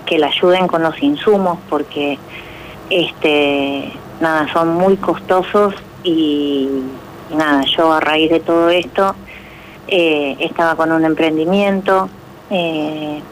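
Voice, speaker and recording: A middle-aged Argentine woman being interviewed on the radio, with a distinctly low voice. She speaks haltingly, searching for words, over faint background noise. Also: steady tone, rough recording. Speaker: interview guest, pilates instructor.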